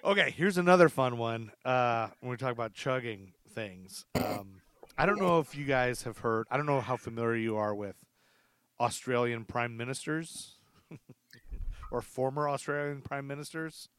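A man's voice: medium pitch, normal speed, fairly bright tone, clean tone, dry recording. A man hosting a podcast, telling stories casually and comically, chatty and amused, repeating facts for emphasis. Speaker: podcast host.

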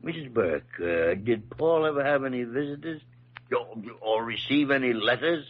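A man acting an older senior hospital doctor in a 1940s radio drama, gruff but fair, speaking in a halting, folksy, emphatic way. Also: mid-range voice, lively intonation, lightly acted. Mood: businesslike. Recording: dull old radio recording.

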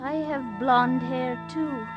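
A woman's voice: high-pitched, slow pace, music in the background. An actress playing a carefree, fun-loving young woman in an old radio crime drama, with artless, colloquial talk. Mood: wistful, dreamy. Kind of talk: 1950s radio drama acting. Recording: dull old radio recording, faint hiss.

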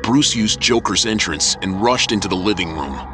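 Low-voiced man narrating over music. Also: expressive, moderate pace. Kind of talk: scripted audio drama narration.

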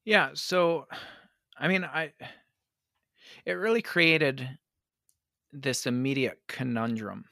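A man, a pastor, answering interview questions in an earnest, reflective way, his voice worn hoarse by allergies. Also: medium pitch, takes it slowly, long pauses, pushed and bright, dry recording, studio quality. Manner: earnest, reflective, many restarts. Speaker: pastor and advocate.

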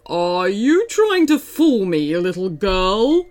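A woman's voice: medium pitch, very animated, slow pace, a little mellow. A woman voicing a big, lonely male dragon in a children's storybook, menacing but open to persuasion.

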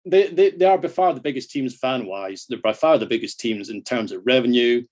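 A man's voice: medium pitch, lively intonation, brisk, a little mellow. A middle-aged Scottish man chatting casually about football as a podcast guest, self-deprecating and happy to swear. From Scotland.

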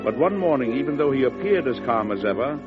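An actor with an American accent playing a folksy, firm president in a 1940s radio drama, speaking in a conversational, deliberate way. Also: male voice, medium pitch, animated, over loud music, natural voice. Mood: measured, concerned. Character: folksy, firm American president telling his own story. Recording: muffled vintage radio recording.

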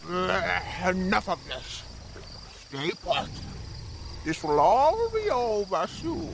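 A woman's voice: fairly low pitch, sing-song, vocal fry, slight background noise, heavily acted. A woman playing a monstrous seal-woman who gloats and taunts as she savors her prey in a fantasy comedy audio drama. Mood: impatient, menacing. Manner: slow, savoring, taunting delivery.

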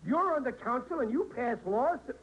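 A man's voice: extremely high pitch, expressive, normal speed, fairly bright tone, dull audio. An actor playing a blustery, stubborn American working-class family man in an old-time radio sitcom, indignant and ungrammatical. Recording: noisy vintage radio recording.

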